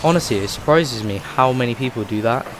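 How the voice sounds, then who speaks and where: A man hosting a gaming channel, chatting casually over his gameplay and rambling with lots of fillers, his voice rough from a cold. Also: medium pitch, lively intonation, a little fast, over music, rough recording.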